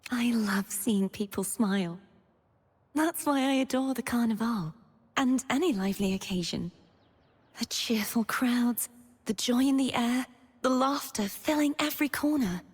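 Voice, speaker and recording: A young woman playing a gentle, earnest, wistful maiden heroine in scripted video game lines, soft-spoken and breathy. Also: on the high side, measured pace. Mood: joyful, dreamy. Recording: long reverb on the voice.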